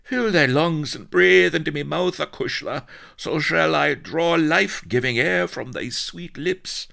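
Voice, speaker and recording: Man doing a blustering, high-straining rogue colonel. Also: a little slow, vocal fry, pushed and bright, exaggerated voice. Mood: gasping, amorous. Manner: roaring, gasping, florid. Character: roguish, flirtatious colonel, blustering and spluttering.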